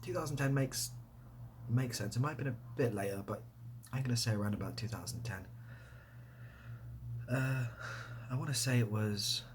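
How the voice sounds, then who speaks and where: A man speaking softly in a casual ASMR style, breathy and often dropping to a whisper, rambling hesitantly with a self-deprecating manner. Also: medium pitch, normal speed, long pauses, background noise. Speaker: ASMR video creator and host. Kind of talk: soft-spoken ASMR, casual question-and-answer to camera.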